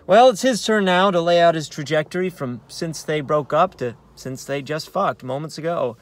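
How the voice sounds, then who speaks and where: A man in his thirties with an American accent, an actor reading a play as conversational, halting narration with uneven emphasis, recorded inside a car. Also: on the high side. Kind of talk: dramatic narration of a play read on book. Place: inside a parked car in daylight.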